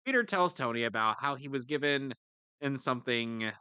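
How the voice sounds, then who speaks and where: A man's voice: mid-range voice, expressive, normal speed, sharp tone, clean tone, no room sound. A man narrating a comic script in a mock-dramatic way with asides, as a co-reader on a live stream.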